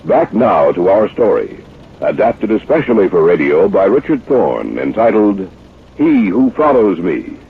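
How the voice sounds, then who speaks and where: Low, swooping old-time radio announcer man. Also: very animated, a little slow, long pauses. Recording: muffled noisy vintage radio recording.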